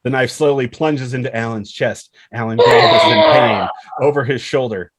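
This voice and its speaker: A man's voice: mid-range voice, huge pitch swings, moderate pace, a loud crowd. A man reading stage directions as the narrator of a screenplay table read.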